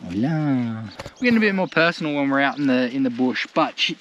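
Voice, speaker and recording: A man's voice: medium pitch, animated, brisk, fairly bright tone, muffled recording. A man with an Australian accent chatting casually to viewers as a travel vlogger, in an informal, rambling, slangy way. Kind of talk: casual chat to camera. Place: outdoors in rural bush campsite. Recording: noisy outdoor recording with birdsong. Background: birds and background noise.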